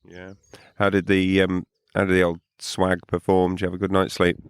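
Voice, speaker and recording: A man co-hosting a podcast, chatting casually at a campsite in a morning voice hoarse from drinking, relaxed and rambling, repeating words as he goes. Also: low voice, normal speed, no room sound, clean studio sound. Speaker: podcast co-host. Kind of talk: casual chat outdoors at a campsite.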